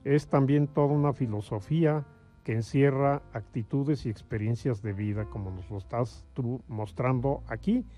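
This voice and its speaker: A man hosting a music interview, phrasing his thoughts in a halting, drawn-out and effusive way. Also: mid-range voice, mellow. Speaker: interview show host.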